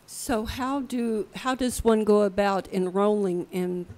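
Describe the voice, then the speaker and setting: A woman school board member chairing a public meeting, asking direct, brisk questions. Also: mid-range voice, darkish tone.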